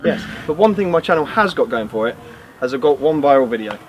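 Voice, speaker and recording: A man's voice: mid-range voice, expressive, fast. A man in his thirties giving an encouraging, emphatic how-to tutorial as a coach. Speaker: host and acrobatics coach. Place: grassy field outdoors. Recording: outdoor noise, traffic ambience.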